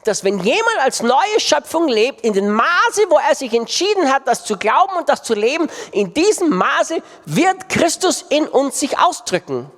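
A man's voice: very high-pitched, very animated, moderate pace, few pauses, fairly bright tone. A man preaching a sermon with impassioned delivery and emphatic repetition. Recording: long reverb of a large hall.

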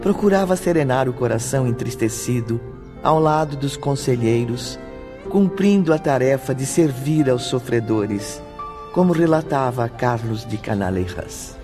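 A man's voice: mid-range voice, lively intonation, moderate pace, music in the background. A man with a Brazilian accent narrating a scripted radio drama in solemn, formal diction.

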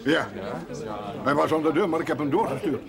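A male actor playing a stubborn, brisk village husband in colloquial television drama dialogue. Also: a little high, vocal fry, a crowd in the background. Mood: dismissive. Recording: lively background sounds, 1970s television soundtrack.

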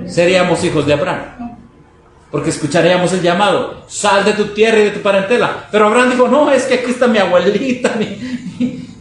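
A man with a high-pitched voice delivers a fervent sermon. He exhorts his listeners, throws out rhetorical questions and acts out their objections. The sound is heavily echoing, with some noise behind him. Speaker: preacher. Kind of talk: fervent sermon to a congregation, reading quotes and commenting. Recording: echoey room, noisy background.

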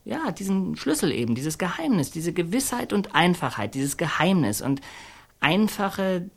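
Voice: medium pitch, very animated, moderate pace, vocal fry. An actor in a radio play voicing an earnest, awestruck storyteller who hesitates, searches for words and repeats phrases. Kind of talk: radio play monologue in halting colloquial speech.

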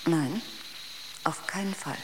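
An actress playing a logical, quietly argumentative ship's computer in a radio drama, with precise, clinical delivery. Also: female voice, lowish voice, takes it slowly, background noise.

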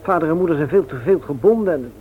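A man's voice: fairly high pitch, expressive, natural voice. Actor as hesitant, earnest father, tinny recording. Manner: hesitant, halting, reserved. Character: hesitant, earnest widowed father seeking advice. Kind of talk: radio play acting. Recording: tinny old radio recording with hiss.

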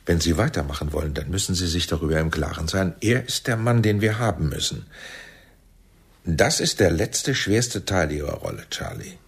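Deep, husky paternal spymaster. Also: male voice, sing-song, moderate pace, full character voice. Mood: grave, persuasive. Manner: theatrical, persuasive. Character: paternal, persuasive male intelligence chief, flattering and grave. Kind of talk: radio play acting.

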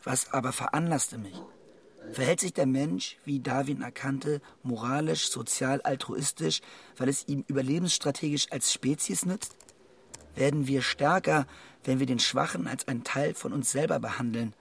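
An actor in a radio drama playing a self-doubting, evasive middle-aged brother, husky and wordy with wry self-mockery. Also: male voice, medium pitch, normal speed. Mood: musing, wry. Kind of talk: radio drama acting, dialogue and inner monologue.

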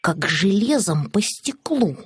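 Woman voicing a grumpy, whiny kitten, lively pitch. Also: slow, slightly rough, no room sound, studio quality, exaggerated voice. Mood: grumpy, scornful. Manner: whining, muttering, hissing complaints. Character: Findus, grumpy jealous male kitten, whiny and sulky.

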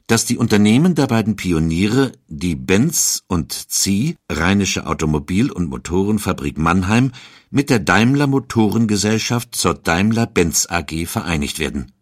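Low-pitched male narrator, dry studio sound. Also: sing-song, measured pace, no echo. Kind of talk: scripted documentary narration.

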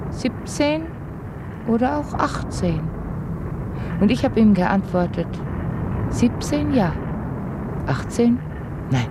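An actress playing a wistful, dreamy and fragile young woman in a German radio drama, musing intimately and haltingly. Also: a little high, sing-song, heavy background noise. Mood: wry, fragile.

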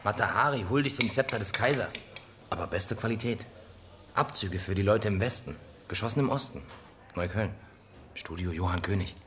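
Low-voiced, clipped male police officer played by an actor. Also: moderate pace, fairly bright tone, lightly acted. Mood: casual, gossipy. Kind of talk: radio drama dialogue.

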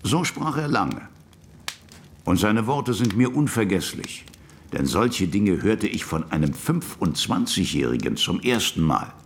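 An actor playing a gruff, kindly old army officer who tells his tales colloquially and vividly in a radio play. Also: fairly low pitch, big pitch swings, unhurried, a little hiss or hum. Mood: reflective narrating. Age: older. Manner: colloquial, vivid, dramatic storytelling.

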